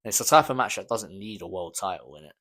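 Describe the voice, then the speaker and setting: Fast-talking, low-voiced young British man. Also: expressive, creaky, fairly bright tone. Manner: slangy, informal, mild swearing. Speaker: podcast co-host. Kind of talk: casual chat about wrestling.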